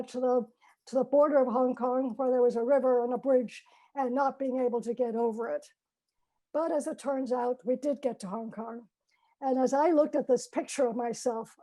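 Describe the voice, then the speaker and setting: Older woman, high voice, warm mellow tone, reflective storytelling, frequent ums, video call mic. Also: low-quality recording. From China. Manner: gentle, many fillers and restarts. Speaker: professor giving a personal talk.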